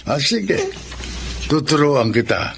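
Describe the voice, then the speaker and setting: An actor playing a kindly, halting widowed grandfather of about seventy in a Tagalog radio drama. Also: fairly high pitch, big pitch swings, vocal fry, fairly bright tone, slight background noise, full character voice. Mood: kindly, patient. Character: gentle lonely widowed grandfather who crochets for his children.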